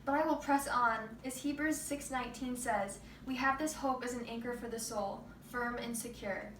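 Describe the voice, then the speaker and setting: A woman's voice: a little high, steady tone, normal speed, fairly bright tone, lightly acted. A woman playing a grieving but steadfast mother of a missing child in a rehearsed stage monologue. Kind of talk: rehearsed readers theater monologue. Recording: roomy distant mic with background noise.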